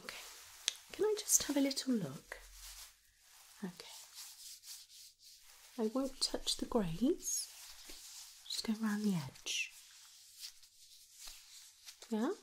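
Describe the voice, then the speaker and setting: A woman, speaking in a soft, often whispered voice, soothes and reassures as she tends a graze in an ASMR first-aid roleplay. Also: a little high, sing-song, takes it slowly, pauses often, slight background noise, rough recording. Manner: soothing, reassuring, gently shushing. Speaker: carer tending a child's graze.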